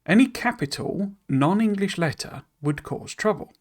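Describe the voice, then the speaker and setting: A man's voice: sits high, animated, moderate pace, slightly rough. Man reading aloud and explaining, hesitant. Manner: explains in loose asides full of "you know"; hesitant and self-deprecating over foreign words. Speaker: streamer reading a game dev blog.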